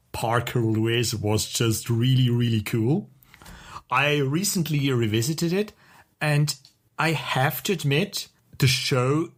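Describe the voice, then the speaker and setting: Man, middle-aged, podcast co-host, casual chat, enthusiastic, halting phrasing, medium pitch. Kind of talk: casual chat about TV shows.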